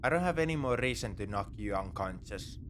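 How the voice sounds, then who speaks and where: A man's voice: on the low side, lively intonation, brisk, a bit bright, slight background noise. A man playing a morally grey space pirate captor in a sci-fi ASMR roleplay, talking in a casual, wry, coaxing way. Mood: encouraging, playful. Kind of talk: second-person sci-fi ASMR roleplay acting.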